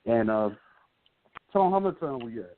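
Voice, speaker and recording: A man's voice: medium pitch, animated, normal speed. A man hosting a casual call-in radio talk show over the phone, speaking in an informal, slangy way. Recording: dry, narrow phone line.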